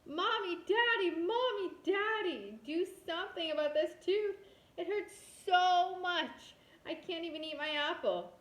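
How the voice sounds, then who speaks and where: A woman voicing a whiny little boy in a storybook, yelling and pleading for help with a painful loose tooth. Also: very high-pitched, unhurried, voice pushed up. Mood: pained, pleading. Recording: roomy echo.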